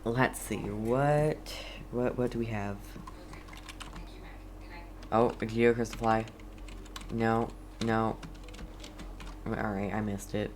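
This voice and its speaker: A video game streamer with a mid-pitched voice talks at an unhurried pace. The commentary is casual and rambling, with faint noise and typing behind it. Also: fairly even intonation, a little mellow. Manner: rambling, talks to self and viewers. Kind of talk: casual chatty gameplay commentary.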